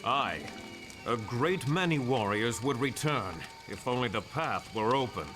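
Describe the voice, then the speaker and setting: A man's voice: medium pitch, lively intonation, measured pace, over music, natural voice. A man playing a noble, grave warrior god in a video game, speaking in formal, archaic heroic style. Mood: grave warning.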